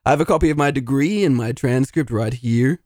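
A man's voice: medium pitch, a little fast, darkish tone, dry recording, clean studio sound, lightly acted. A man voicing a self-pitying failed dungeon master in a comedy audio drama, talking in a melodramatic way. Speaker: narrator.

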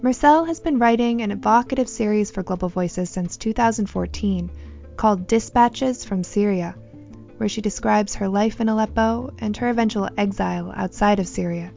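A woman narrates a scripted piece in a calm voice. Her tone is dark and mellow, with a gravelly fry. The sound is slightly dull and lo-fi. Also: mid-range voice, normal speed, soft background music. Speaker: host, narrator.

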